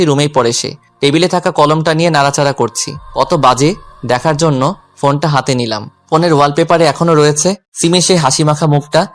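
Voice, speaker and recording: A man's voice: fairly high pitch, natural voice. A man playing a lovelorn, self-effacing young tutor who narrates his own romantic story. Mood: wistful. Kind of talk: scripted first-person romantic story narration with dialogue.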